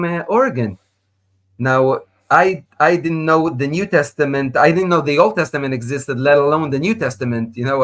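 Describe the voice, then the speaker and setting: An Israeli-accented man, a native Hebrew speaker, tells his life story as a guest over a video call. He is animated, with small slips in his English, and his voice sits at a middle pitch. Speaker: guest scholar. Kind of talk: personal testimony in interview.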